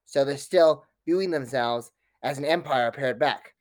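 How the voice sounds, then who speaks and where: A man's voice: medium pitch, measured pace, no echo. A man speaking as an academic guest in an interview, giving fluent, detailed explanations.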